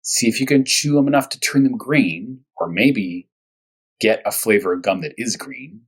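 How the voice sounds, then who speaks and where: A man speaking as a first grade teacher at storytime, talking to young children in simple, short, repeated phrases, with a husky voice. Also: mid-range voice, animated, normal speed. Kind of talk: storytime read-aloud and discussion for young children.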